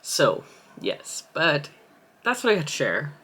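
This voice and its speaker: A woman's voice: low voice, wildly swooping pitch, slow, fairly bright tone, slightly rough. A young adult woman, an aspiring author, chatting casually at home in a vlog, rambling and correcting herself as she thinks aloud. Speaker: aspiring author vlogging her writing.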